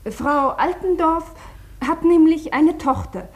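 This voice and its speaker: A flustered woman in a radio play, smoothing things over. Her voice is fairly high, and she sounds hesitant yet over-insistent, always placating. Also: expressive, slow, heavily acted. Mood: flustered, defensive. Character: flustered woman glibly covering up an absence. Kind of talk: German radio play acting.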